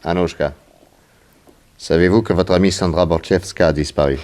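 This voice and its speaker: Actor as low-voiced, shrewd radio detective. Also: male voice, steady tone, moderate pace, a little mellow, natural voice. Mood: firm, pressing. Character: shrewd, composed private detective questioning witnesses and suspects. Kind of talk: 1960s French radio serial acting. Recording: noisy vintage 1960s radio recording.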